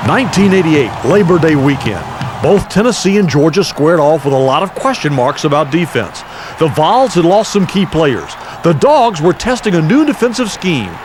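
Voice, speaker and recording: Measured male documentary narrator. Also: a little high, big pitch swings, over music, crowd noise. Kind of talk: scripted narration.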